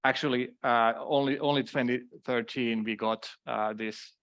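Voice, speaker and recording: A middle-aged man speaks with a clear Finnish accent, his voice sitting at an ordinary pitch. He presents a conference session in a loose, conversational way, stopping to restart phrases and dropping in self-deprecating asides. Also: fairly even intonation, normal speed, a bit bright. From Finland. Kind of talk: conference talk.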